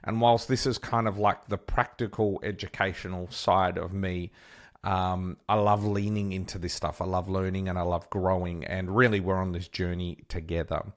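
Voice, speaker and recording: An Australian man with a fairly low voice hosts an interview podcast. He talks in an upbeat, chatty way and fills his sentences with ums and restarts. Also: flat delivery, normal speed. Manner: enthusiastic, chatty, many fillers. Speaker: podcast host. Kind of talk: casual interview hosting.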